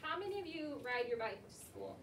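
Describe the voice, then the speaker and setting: High-pitched woman teacher in echoey classroom. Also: lively intonation, brisk, fairly bright tone. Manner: instructive, often repeating pupils' answers. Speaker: elementary school teacher. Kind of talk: classroom read-aloud discussion with questions to children. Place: indoor school classroom. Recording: echoey noisy classroom, distant mic.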